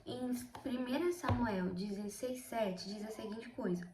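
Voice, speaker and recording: A sixteen-year-old girl with a Brazilian accent and a somewhat high voice talks through a casual Bible study vlog. A cold has left her slightly hoarse, and she keeps calling out to viewers while telling stories with lively emphasis. The room sounds echoey, with faint noise underneath. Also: unhurried. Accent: Brazilian Portuguese. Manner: chatty, keeps addressing viewers, emphatic storytelling. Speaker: video host.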